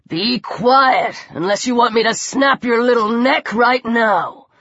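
A woman voicing a gruff, lecherous older male bully in an audiobook, snarling and slowly drawling. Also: on the high side, fairly bright tone, no echo, highs cut off, full character voice. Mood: menacing. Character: menacing older bearded man, gruff thug, lecherous bully.

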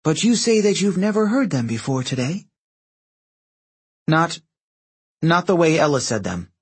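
Casting: man as earnest teenage girl adventurer, audiobook. Anxious, intense, pleading, raised pitch with lively swings. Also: unhurried, husky, exaggerated voice. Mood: uneasy, questioning. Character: earnest, anxious teenage heroine, sharp and questioning. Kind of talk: audiobook character dialogue.

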